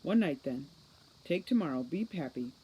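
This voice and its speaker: A woman's voice: low-pitched, lively intonation, normal speed, mellow, husky, exaggerated voice, low-quality recording. A woman voicing a serious young boy mastermind in a storybook, anxious beneath clipped, formal speech. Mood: restrained. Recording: faint background noise.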